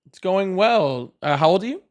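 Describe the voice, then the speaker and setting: A man with a slightly high voice hosts a live call-in debate show. He chats casually, reads out viewer messages and turns dryly sarcastic and dismissive when he deals with callers. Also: expressive, moderate pace, darkish tone, highs cut off. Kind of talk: casual political debate and reading out super chats.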